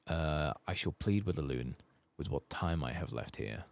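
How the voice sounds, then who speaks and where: A solemn elven priestess from a fantasy game, as a man acts her out, speaks softly and formally. The voice is very low and creaky, weighted with sorrow. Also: lively intonation, moderate pace, full character voice. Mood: grave, sorrowful. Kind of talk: soft-spoken reading of fantasy game dialogue.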